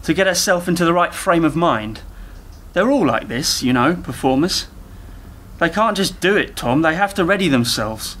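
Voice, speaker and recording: An actor playing an eager, naive younger techie in a radio play, rambling and over-explaining earnestly. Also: male voice, a little high, lively intonation, moderate pace, a bit bright. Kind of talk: community radio play dialogue.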